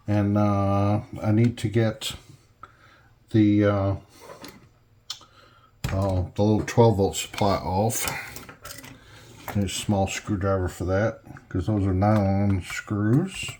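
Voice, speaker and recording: Slow-talking man muttering over hands-on work. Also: on the low side, darkish tone. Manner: folksy, muttering to himself while working. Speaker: hobbyist host demonstrating an electronics conversion. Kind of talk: casual hands-on workbench narration. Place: indoors at home workbench. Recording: clearly noisy home recording, clicks.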